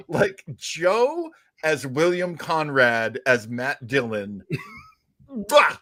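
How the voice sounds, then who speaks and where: A man co-hosting a casual livestream chat, talking in an excitable, exclamatory way. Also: mid-range voice, dry recording. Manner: excitable, exclamatory, quick to laugh. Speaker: show co-host.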